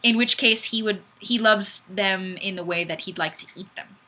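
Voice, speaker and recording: Goofy lady hosting a livestream chat, bright voice, animated, casual and kinda exclamatory. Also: medium pitch, normal speed. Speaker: live stream host. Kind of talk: casual chat, reading viewer comments aloud.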